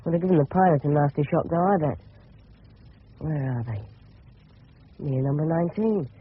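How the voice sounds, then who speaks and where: Eager, cheeky English schoolboy, fairly high clipped voice, inner monologue on a tinny old radio broadcast. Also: male voice, moderate pace, breathy edge. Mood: self-satisfied, eager. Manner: clipped, fragmentary, boyish slang.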